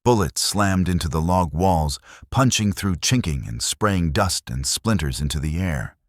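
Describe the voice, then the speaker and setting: Very deep, unhurried male storyteller. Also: animated, no echo, studio quality. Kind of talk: dramatic scripted narration of a Western tale.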